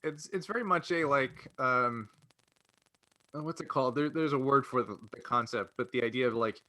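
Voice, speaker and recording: A man's voice: medium pitch, moderate pace, pushed and bright, rough recording. A man co-hosting a casual podcast, explaining an idea while searching for his words. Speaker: podcast co-host.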